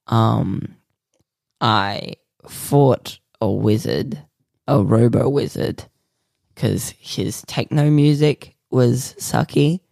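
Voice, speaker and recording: A man co-hosting a podcast, rambling through absurd improvised stories in a deadpan way with lots of fillers. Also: medium pitch, creaky, no echo, clean studio sound. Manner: slow, rambling, deadpan nonsense with many fillers. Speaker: podcast co-host.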